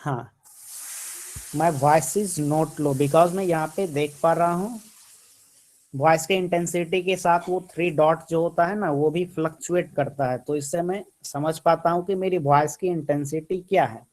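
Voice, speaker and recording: A man's voice: on the high side, steady tone, very fast, darkish tone, poor audio. A man with an Indian accent teaching a maths class online over a computer mic, switching between English and Hindi and repeating his points.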